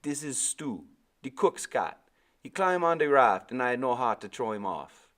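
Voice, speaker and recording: Man putting on a gentle old West Indian sailor, lifted pitch. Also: sing-song, normal speed. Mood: explaining. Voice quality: rich, soft and musical. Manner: gentle, reassuring, folksy dialect.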